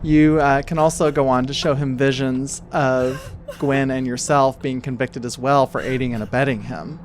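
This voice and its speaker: A man improvising narration as the game master of a tabletop role-playing game, haltingly, as if thinking aloud. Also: medium pitch, steady tone, slight background noise. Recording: music under the voice. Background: background music.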